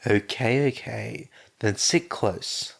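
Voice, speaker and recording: A gentle, tired father speaks softly in a low, unhurried voice, as a man reads him. Patience shows in every word, and the voice sounds sore and hoarse. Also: full character voice. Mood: tender. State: hoarse, losing his voice. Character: gentle, weary adoptive father.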